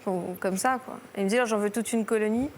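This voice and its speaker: A woman in her thirties, a talk show guest, telling a personal story in halting sentences that restart and trail off. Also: medium pitch, a little mellow, slight background noise. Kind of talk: emotional personal testimony. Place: television studio.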